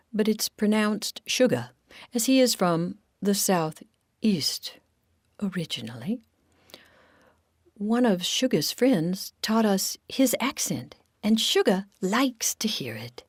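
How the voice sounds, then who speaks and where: A woman's voice: medium pitch, very animated, slow pace, slightly rough, no echo, clean studio sound, voice pushed up, exaggerated voice. A woman voicing eager, kindly lady ladybugs in a storybook, chattily explaining things.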